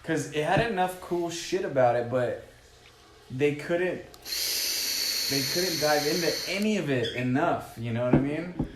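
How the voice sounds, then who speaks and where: A man's voice: fairly high pitch, unhurried, over a noisy crowd. Echoey, slangy man on a podcast. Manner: profane, slangy, says dude often. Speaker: podcast co-host. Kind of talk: casual movie discussion among friends.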